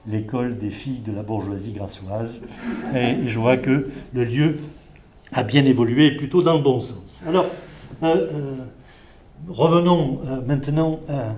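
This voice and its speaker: A man's voice: mid-range voice, unhurried, a little mellow. Middle-aged guy from the south of france giving a talk through a face mask, bit muffled, lots of umms and restarts. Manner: hesitant, many filler sounds and restarts. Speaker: invited speaker, environmental law expert. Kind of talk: conference talk to a live audience. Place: indoor venue with an audience.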